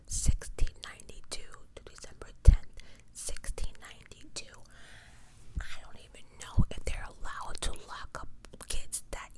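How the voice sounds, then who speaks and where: A woman whispering softly and breathily as an ASMR host, reading aloud with informal, incredulous asides. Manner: informal, incredulous commentary between read passages. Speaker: host of true crime ASMR channel.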